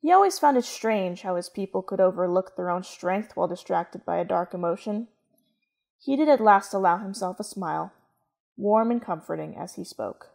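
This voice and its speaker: Woman narrator, measured storytelling. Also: medium pitch, animated, vocal fry, darkish tone.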